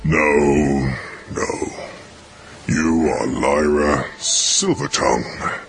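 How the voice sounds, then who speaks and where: A man playing a huge, proud armoured bear in an audio drama, speaking slowly and gravely. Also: very deep voice, fairly even intonation, exaggerated voice. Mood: grave, astonished.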